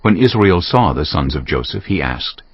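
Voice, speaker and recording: A man narrating scripture as a dramatized story, in a husky voice with measured storytelling phrasing. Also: deep voice, very animated, dry recording, muffled recording.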